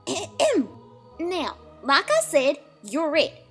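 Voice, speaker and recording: A woman voicing a bossy, lively young filly pony with an emphatic, folksy, commanding manner in a storybook reading. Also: high-pitched, slow pace, soft background music, voice pushed up, heavily acted. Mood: bossy, explaining.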